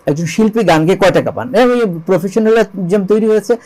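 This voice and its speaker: A high-voiced man with a Bangladeshi accent answers as an interview guest. He speaks earnestly and emphatically, repeating key phrases and posing rhetorical questions, with English words mixed in. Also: lively intonation, brisk, darkish tone, low-quality recording. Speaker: interview guest, songwriter and composer.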